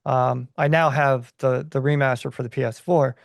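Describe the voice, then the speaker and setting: A man giving his opinions in a casual podcast chat, enthusiastic but halting as he thinks aloud. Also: mid-range voice, fairly even intonation, vocal fry, no echo, studio quality.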